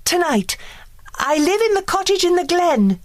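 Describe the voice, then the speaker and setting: A woman's voice: extremely high pitch, big pitch swings, a little slow, slight background noise, pitched above the performer's own voice, full character voice. A woman voicing a sweet, dreamy young princess in a children's storybook, in a girlish, exclaiming manner. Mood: flustered, eager.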